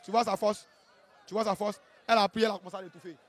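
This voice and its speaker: A man with an Ivorian accent giving colloquial live fight commentary, excitable, repeating phrases and adding tag questions. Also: high voice, rapid-fire, some chatter behind. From Ivory Coast.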